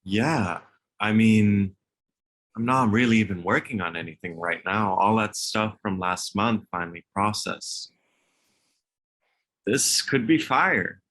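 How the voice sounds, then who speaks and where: Slow, bright, low-voiced man as forensics tech. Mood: hesitant, easygoing. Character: laid-back, mildly exasperated male forensic evidence technician. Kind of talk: table read of a comedy script.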